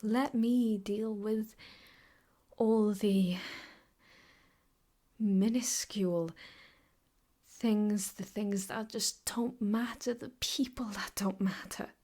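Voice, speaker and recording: A woman playing an obsessive, possessive yandere ex-girlfriend in an improvised ASMR roleplay, speaking intimately and haltingly, often slipping into whispers. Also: medium pitch, steady tone, dark tone. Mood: coaxing, possessive. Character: obsessive, possessive yandere ex-girlfriend holding her captive lover.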